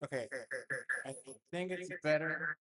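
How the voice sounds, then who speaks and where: A man's voice: a little high, unhurried, bright, forceful tone, dry recording. Hesitant young man fumbling with stream setup. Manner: hesitant, rambling, full of fillers and restarts. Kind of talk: casual chat while setting up a stream.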